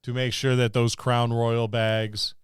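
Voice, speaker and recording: A man's voice: medium pitch, little pitch movement, takes it slowly, clear, resonant tone, no echo, studio quality. A man co-hosting a podcast in casual banter, with a jokey, grumbling wryness. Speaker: podcast co-host. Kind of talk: casual banter among co-hosts.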